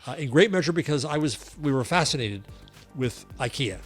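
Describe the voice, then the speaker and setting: A man's voice: on the high side, expressive, a little fast, faint music behind. An older man co-hosting a podcast in casual chat, wry and digressive, telling stories. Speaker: podcast co-host.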